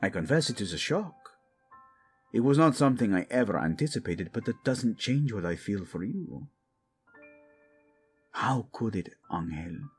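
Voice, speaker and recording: A man playing a proud, passionate young king devoted to his wife in a romantic audio drama, speaking earnestly and deliberately. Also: lowish voice, sing-song, husky, faint music behind, exaggerated voice. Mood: soft, earnest.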